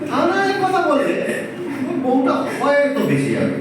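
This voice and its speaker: A man's voice: very high voice, wildly swooping pitch, moderate pace, full character voice. A man acting a brusque, nosy village rent collector in an audio play. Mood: scheming, advising. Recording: echoey, distant, noisy hall recording.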